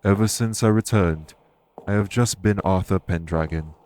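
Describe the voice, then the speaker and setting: Audio roleplay lead: male actor as dignified ex-king and fae minister, low register, formal, reassuring delivery. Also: darkish tone, slight background noise, lightly acted. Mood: reserved, matter-of-fact. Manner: formal, measured, reassuring phrasing. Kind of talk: audio roleplay spoken to the listener.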